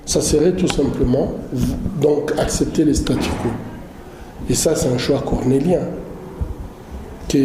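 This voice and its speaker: A man giving political commentary in an interview, speaking deliberately and often searching for words. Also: mid-range voice, warm tone. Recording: roomy echo, clearly noisy background.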